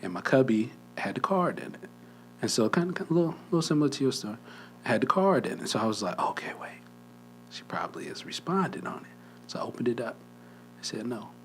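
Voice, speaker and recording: A man's voice: medium pitch, expressive, fast talker, a little hiss or hum. A young man co-hosting a podcast, telling a story in a casual chat, often dropping to a near whisper and laughing. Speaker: podcast co-host.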